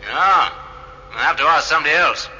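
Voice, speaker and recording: A man's voice: a little high, brisk, sharp tone, dull audio. An actor playing an observant, matter-of-fact starship crewman reasoning things out in a radio drama. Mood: reasoning, insistent.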